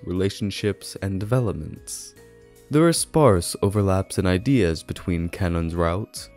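A man reading scripted video essay narration in a measured, formal manner. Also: on the low side, dark tone. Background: background music.

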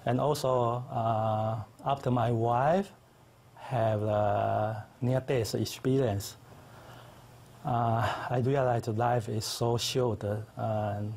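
Slow, husky-voiced man, Chinese native speaker. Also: mid-range voice, darkish tone. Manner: earnest, simple phrasing, thoughtful pauses. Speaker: interview guest, tai chi teacher. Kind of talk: studio interview. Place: local TV studio set.

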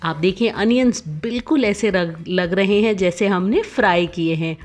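A woman, host of a cooking channel, narrating a recipe voice-over with clear step-by-step instructions. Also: medium pitch, normal speed, faint music behind.

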